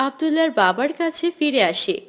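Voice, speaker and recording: A woman's voice: high voice, lively intonation, brisk, fairly bright tone. A woman giving scripted narration for children's stories and presenting a quiz.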